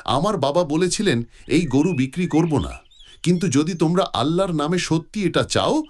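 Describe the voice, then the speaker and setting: A man's voice: on the high side, lively intonation, moderate pace, a little mellow, pitched above the performer's own voice. A man voicing an earnest, principled village boy speaking firmly in a cartoon story. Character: principled village boy guarding his cow.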